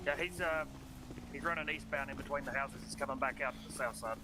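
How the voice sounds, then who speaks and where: A man's voice: mid-range voice, quick pace, natural voice. A man playing a police officer, giving pursuit callouts in a game roleplay. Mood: urgent, businesslike. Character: male police officer calling a foot pursuit. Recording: clearly noisy game audio, street ambience.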